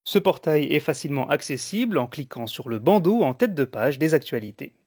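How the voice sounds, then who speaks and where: A man presents a radio magazine in a fairly high voice. His delivery is measured and explanatory. The sound is dry, with very little room around it. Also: animated, darkish tone, dry recording. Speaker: radio co-host.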